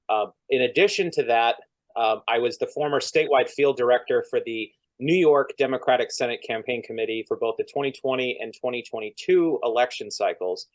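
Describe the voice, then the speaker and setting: Guest, male, mid-pitched voice, smooth political delivery, from Vermont, heard over a video call. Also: low-quality recording. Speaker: lobbyist and former legislative staffer. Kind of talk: casual video-call interview.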